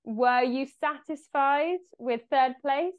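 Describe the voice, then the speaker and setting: A woman's voice: high voice, takes it slowly, narrow, tinny audio. A woman hosting a podcast interview, encouraging and laughing along with her guest. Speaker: podcast host. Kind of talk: casual interview.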